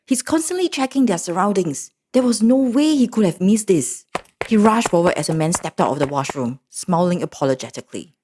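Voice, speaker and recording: A woman narrating a story recap in an expressive, animated storytelling manner. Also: medium pitch, slightly rough.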